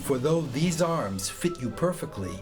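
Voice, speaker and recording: A man plays a helpful squire from a radio play, friendly and sensible. He gives advice kindly in a very lively, varied voice, with music underneath. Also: on the high side, measured pace. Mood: concerned, friendly. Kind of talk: audio drama acting.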